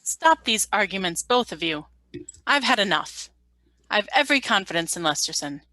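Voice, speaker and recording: Bright, commanding woman as colony governor. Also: medium pitch, sing-song, no room sound. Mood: exasperated, firm. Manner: brisk, commanding. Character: impatient, authoritative colony governor. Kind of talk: radio play reading.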